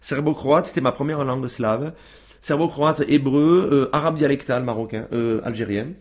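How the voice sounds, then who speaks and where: A man's voice: medium pitch, normal speed, darkish tone. A man as a guest on a radio show, talking casually with many fillers and restarts.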